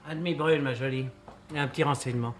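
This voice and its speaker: A man's voice: mid-range voice, fairly even intonation, very fast, faint music behind, lightly acted. A man playing a jovial, sly amateur sleuth in a French radio play, chummy and bantering yet insistent. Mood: casual, probing.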